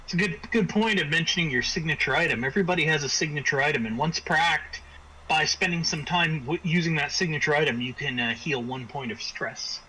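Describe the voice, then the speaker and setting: A man acting as game master, improvising scene descriptions in halting phrases with frequent repeats. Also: a little high, bright, forceful tone, rough recording. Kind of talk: improvised narration of a tabletop game. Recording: faint background noise.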